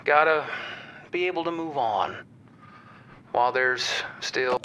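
An actor playing an awkward father who leaves a halting voicemail full of fillers. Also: medium pitch, animated, bright, forceful tone, a little hiss or hum. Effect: answering machine playback. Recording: dull voicemail playback.

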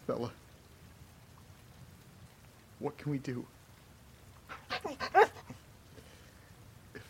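A man playing a frightened character trapped in a haunted house, muttering to himself haltingly and swearing in a horror audio drama. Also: medium pitch, slight background noise. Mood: despairing.

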